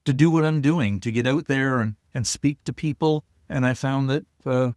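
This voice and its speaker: Older male guest, shy, halting storyteller, mid pitch, dry close sound. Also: a little mellow, dry recording. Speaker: guest, COPD advocate. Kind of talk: casual interview talk.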